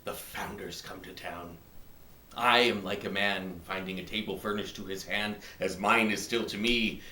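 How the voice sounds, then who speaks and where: A man playing a smug, contented kept husband in a theatrical, gleeful Elizabethan stage comedy monologue, with drawn-out phrasing. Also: fairly low pitch, fairly even intonation, pushed and bright, a little hiss or hum, voice pushed down. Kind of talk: classical stage monologue acting. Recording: echoey room.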